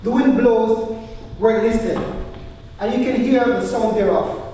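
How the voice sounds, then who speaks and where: Far from the mic in a very reverberant hall, an actor plays a holy male teacher. His voice is high, and he declaims old-fashioned scripture solemnly, with measured emphasis and calm authority. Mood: solemn, emphatic. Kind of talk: live church stage drama, King James scripture recitation. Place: church hall, recorded live. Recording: very echoey hall, distant mic, faint noise.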